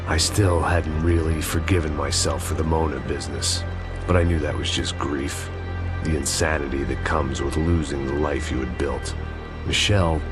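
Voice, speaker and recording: An actor playing a weary, bitter, grief-haunted middle-aged action hero, narrating a video game in deadpan noir style. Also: male voice, very deep voice. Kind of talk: scripted noir voice-over narration. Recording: music behind the voice.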